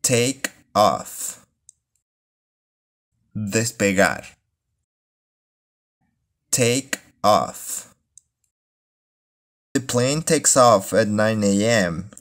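A man who speaks as his teaches English phrases, reading each one slowly and then word by word. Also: on the low side, animated, fairly bright tone. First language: Spanish. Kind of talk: bilingual language lesson, slow drilled repetition.

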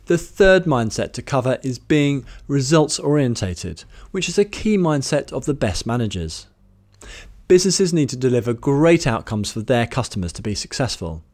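A British man in his thirties, a management trainer, speaking to camera in a studio in a measured, instructive way and taking the points in order. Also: medium pitch, very animated, a little mellow. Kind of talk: scripted presenter talk to camera. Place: studio with plain grey backdrop. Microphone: studio mic on boom arm in front.

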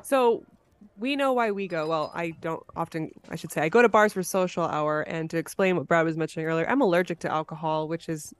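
Woman, smooth clear voice, slightly low pitch, podcast host, casual interview chat. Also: a little fast, no room sound.

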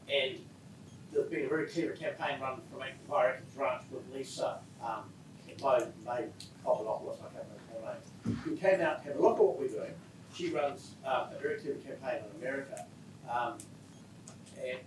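Man, audience member, hesitant, distant, echoey hall, background noise. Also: mid-range voice. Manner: halting, searching for words. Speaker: farmer in the audience. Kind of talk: audience comment.

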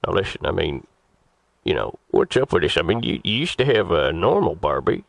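A man's voice: sits low, big pitch swings, moderate pace, creaky, dry recording, exaggerated voice. A man voicing an indignant older crank customer on a comedy prank call, stammering and then ranting crudely. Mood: exasperated. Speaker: prank caller on a radio show.

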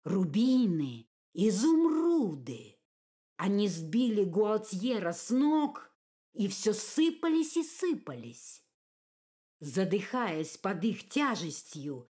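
A woman reading a fairy-tale audiobook as narrator, in an expressive, measured storytelling manner. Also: medium pitch.

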